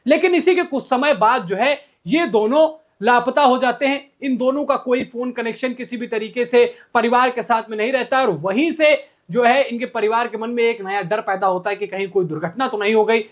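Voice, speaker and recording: A news presenter giving an informal explainer commentary, talking to viewers conversationally as friends. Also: medium pitch.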